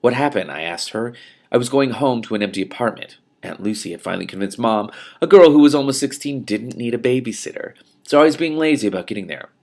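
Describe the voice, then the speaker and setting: A man reading a novel aloud as host, in an expressive style with emphatic phrasing and off-the-cuff commentary. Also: mid-range voice, quick pace, gravelly. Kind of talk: expressive audiobook-style reading with spontaneous reactions.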